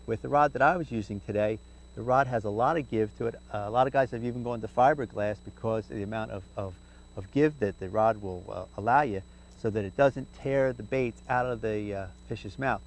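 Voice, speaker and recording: A man's voice: lowish voice, a little fast. A middle-aged man hosting a fishing tips segment, talking casually to camera from a boat outdoors with many "uh" fillers and restarts. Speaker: fishing show segment host. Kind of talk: casual instructional talk to camera. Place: outdoors, sitting in a boat on a river.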